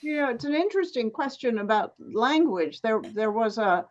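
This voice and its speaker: A woman's voice: on the high side, lively intonation, moderate pace, a little mellow. A woman in a casual group discussion, animatedly sharing a story she read. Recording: dull lo-fi audio.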